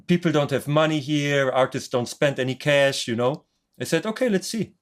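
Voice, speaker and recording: A man from Poland who grew up in Germany speaks as a podcast guest. His voice sits at a medium pitch, and his comes out upbeat and decisive. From Poland, raised in Germany. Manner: upbeat and enthusiastic, speaks English as a second language. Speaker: guest, music producer and author. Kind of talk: casual interview chat.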